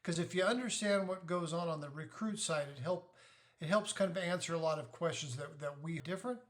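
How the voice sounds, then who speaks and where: Higher-pitched middle-aged man explaining things. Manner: deliberate, methodical, poses questions then answers them. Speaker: host, navy parent giving advice. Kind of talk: informal explainer talk.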